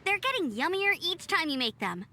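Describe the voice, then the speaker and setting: A woman voicing an upbeat, energetic young female scout in a video game, bubbly and friendly. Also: very high voice, normal speed, noisy background, full character voice. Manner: bubbly, enthusiastic. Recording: faint game music behind.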